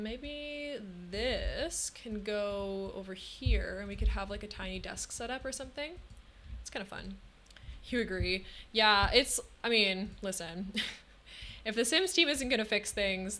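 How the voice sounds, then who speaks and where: A young woman streamer talks her way through a build, chatty and relaxed, filling pauses with hmms and ums. Her voice sits in a middle register, and her throat feels a little scratchy. A faint background hiss runs under her talk. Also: medium pitch. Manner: chatty, thinking aloud with frequent hmms and ums. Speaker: game streamer. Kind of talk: casual live-stream commentary while building in a game.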